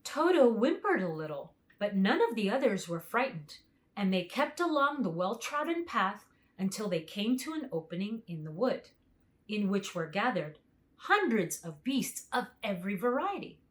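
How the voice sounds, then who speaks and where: A woman reading a children's book aloud as a storyteller, with expressive narration. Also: medium pitch, normal speed.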